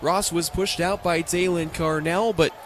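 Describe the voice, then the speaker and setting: A man's voice: fairly high pitch, fairly even intonation, unhurried, fairly bright tone, a little hiss or hum. An American man doing live radio play-by-play of a football game in clipped, present-tense phrases.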